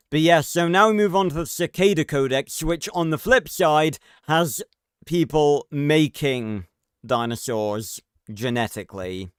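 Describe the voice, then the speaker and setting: Guy streamer chatting thru reactions, rambly n sweary, slow pace, smooth close dry sound. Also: mid-range voice, dry recording. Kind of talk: casual livestream reaction commentary.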